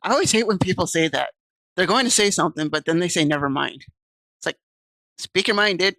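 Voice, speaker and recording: A woman's voice: fairly low pitch, expressive, brisk, fairly bright tone, no room sound, rough recording. A woman streaming, reading visual novel text aloud and chatting casually with viewers on a desk mic. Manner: chatty, reads game text aloud and answers chat.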